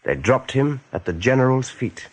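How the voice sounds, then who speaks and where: A man's voice: mid-range voice, expressive, normal speed. A man narrating a scripted radio drama as the storyteller.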